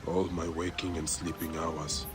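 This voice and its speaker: A very low-voiced desert warrior from a video game, grave and intense. He is a grieving, vengeful figure who speaks with solemn determination, with music faintly behind him. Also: little pitch movement, measured pace. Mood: sorrowful, resolute. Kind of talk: dramatic game voice acting.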